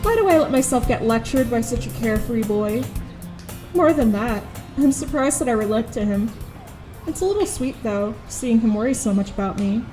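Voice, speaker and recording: A woman playing a reluctant, exasperated high school girl in an audio drama, with dry, grudging first-person narration and dialogue. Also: animated, moderate pace, dark tone, soft background music, lightly acted, poor audio. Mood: wry, resigned.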